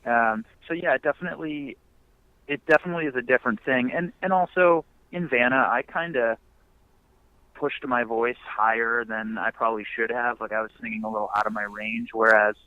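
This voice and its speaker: A man's voice: medium pitch, fairly even intonation, brisk, no room sound. Laid-back young man on a muffled phone line. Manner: laid-back, rambling, many fillers. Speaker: musician guest. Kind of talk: casual phone interview.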